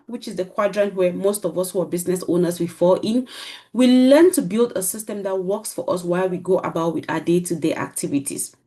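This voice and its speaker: A woman running a business workshop in a persuasive sales tone. She keeps checking in with her listeners as she pitches. Her voice sits at an ordinary middle pitch. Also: darkish tone. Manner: persuasive, often adds "right?" to check in. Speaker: business coach and workshop host.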